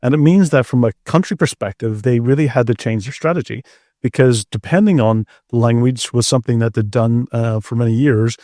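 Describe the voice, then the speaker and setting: A man's voice: medium pitch, brisk, dark tone, no echo, studio quality. A middle-aged man speaking as an expert guest, explaining things in a conversational interview. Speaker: expert guest, security researcher.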